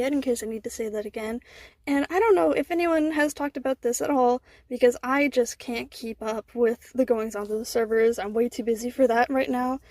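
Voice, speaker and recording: A woman's voice: high-pitched, warm tone, no echo. A woman giving earnest, explanatory commentary in a personal voice message, as a listener writing in to a show.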